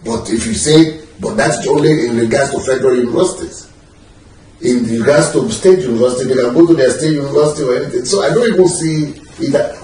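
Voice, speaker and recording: Animated man in an echoey room. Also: on the high side, slight background noise. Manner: animated, gesturing, emphatic with laughs. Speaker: pastor being interviewed. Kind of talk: relaxed conversational interview. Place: living room, lounging on a sofa. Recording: echoey room, mic at a distance.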